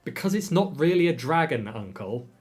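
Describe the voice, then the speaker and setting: A man's voice: mid-range voice, moderate pace, lightly acted. A man voicing a tired young team captain who patiently corrects his uncle. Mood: weary. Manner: tired, flatly explaining. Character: weary young male sports captain.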